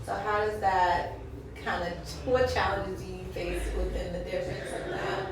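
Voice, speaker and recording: A woman's voice: mid-range voice, fairly even intonation, moderate pace, background noise. An American woman from Louisiana doing a casual, chatty, encouraging interview. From Louisiana, United States. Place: echoey indoor mixer event. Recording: roomy echo, distant mic, crowd chatter.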